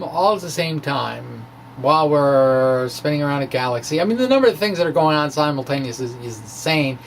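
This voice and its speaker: Higher-voiced man explaining, noisy recording. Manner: halting, rambling, colloquial, with wry asides and chuckles. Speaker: amateur physics blogger presenting his work. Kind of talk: informal spoken physics explanation, dramatic reading of his blog. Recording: clear background noise.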